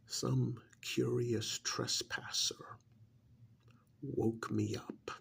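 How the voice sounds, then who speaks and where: Man voicing a slow, gravelly, whispery alien creature. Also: medium pitch, little pitch movement. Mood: weary, annoyed. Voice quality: gravelly, breathy, often dropping into whisper. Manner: slow, deliberate, brooding, with sighs and pauses. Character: ancient alien creature, contemptuous of humans, coldly menacing. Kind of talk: ASMR roleplay monologue.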